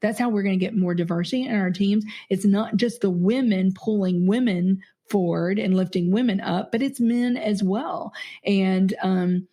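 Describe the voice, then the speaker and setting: A woman's voice: medium pitch, moderate pace. A middle-aged woman as an interview guest, talking earnestly with frequent fillers and easy laughter. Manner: warm, earnest, laughs easily, many fillers. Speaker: podcast guest, company CEO. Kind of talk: conversational interview.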